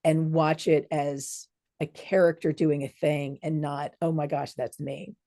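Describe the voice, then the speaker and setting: A woman co-hosting a casual podcast chat, explaining things in a measured, thoughtful way. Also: low voice, flat delivery, warm tone, no room sound, clean studio sound. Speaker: podcast co-host.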